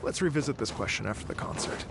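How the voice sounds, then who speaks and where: An actor playing an earnest, polite musician in an anime game, speaking in a thoughtful, courteous manner. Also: male voice, mid-range voice, expressive, fast talker, creaky. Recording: loud noise behind the voice.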